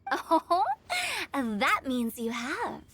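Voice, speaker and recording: A woman's voice: high-pitched, expressive, extremely slow, a bit bright, exaggerated voice. A woman voicing a playful, theatrical young funeral director in a video game, with a flowery, teasing way of talking.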